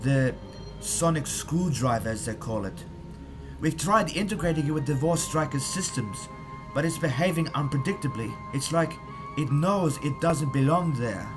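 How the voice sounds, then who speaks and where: A man's voice: fairly high pitch, animated, moderate pace, over music, close to an everyday voice, rough recording. A man acting an anxious German rocket scientist who reports deferentially in a fan audio drama. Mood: uneasy reporting. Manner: uneasy, earnest, explanatory.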